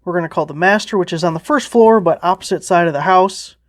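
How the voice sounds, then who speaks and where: A man's voice: high-pitched, fast talker. A man giving a casual, informal hands-on product test narration, with a self-deprecating manner. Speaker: product reviewer. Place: indoors around a house, behind the camera.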